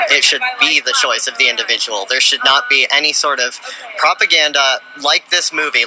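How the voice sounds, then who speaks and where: Young guy, bright voice, halting street interview, little bit of noise. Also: mid-range voice, low-quality recording. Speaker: student protester and interviewee. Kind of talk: explanatory advocacy interview. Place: outdoors on a city street. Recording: faint street noise behind.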